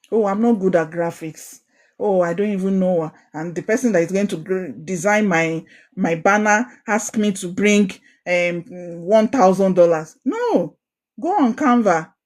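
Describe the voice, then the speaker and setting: A young Nigerian woman with a proud Ijesha accent leads an online coaching session for a women's group. She speaks in an animated, encouraging way and keeps repeating key phrases for emphasis. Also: mid-range voice. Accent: Nigerian, Ijesha Yoruba. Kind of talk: online course talk.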